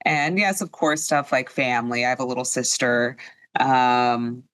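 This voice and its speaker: A woman's voice: very deep voice, steady tone, measured pace, fairly bright tone, no echo. Young adult woman podcast guest, earnest and upbeat, dry close sound. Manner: earnest, upbeat, talks with many fillers. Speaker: guest, immigration attorney and law professor. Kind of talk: casual podcast interview.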